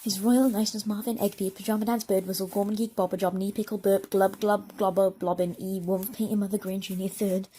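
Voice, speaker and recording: A woman voicing a timid young miller's daughter who speaks in a high, frightened, tearful voice. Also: little pitch movement, fairly quick, hardly any pauses, dark tone, slightly rough, natural voice.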